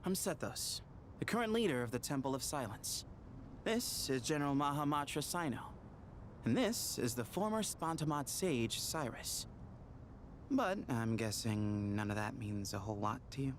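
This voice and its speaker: A man's voice: medium pitch, animated, normal speed, a little hiss or hum, close to an everyday voice. An actor voicing a breezy, easygoing young male leader in a video game, casual and glib. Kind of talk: scripted video game voice acting.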